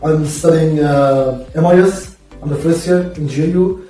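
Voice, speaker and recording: Young man, earnest, hesitant, higher voice, echoey room. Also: steady tone, unhurried, dark tone. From Iran. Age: young adult. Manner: earnest, asks questions with hesitations. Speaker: panel guest. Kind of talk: casual panel chat. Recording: echoey room, mic at a distance, music underneath.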